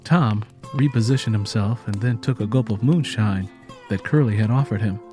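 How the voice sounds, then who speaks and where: A man's voice: fairly low pitch, moderate pace, dark tone. A man narrating fiction as a scripted audiobook reader. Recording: music playing under the voice.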